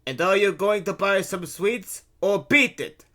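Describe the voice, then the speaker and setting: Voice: mid-range voice, lively intonation, measured pace, fairly bright tone, voice pushed up. A reader doing a barking, greedy fat stall man. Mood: gruff, demanding. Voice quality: thick, heavy voice. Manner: barking, bellowing, muttering. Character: gruff, greedy, very fat husband running sweets stall.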